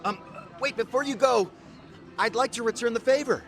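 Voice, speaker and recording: Awkward, effusively polite male diner for a game: high voice, self-deprecating, over music. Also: normal speed. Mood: earnest. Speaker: voice actor in game dub. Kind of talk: English dub game cutscene acting.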